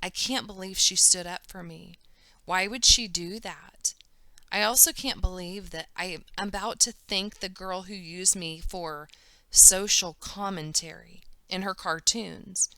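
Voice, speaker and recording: A woman's voice: on the low side, unhurried, bright, forceful tone, dry recording. A woman teacher reading a children's novel aloud, careful and emphatic, doing voices for the characters.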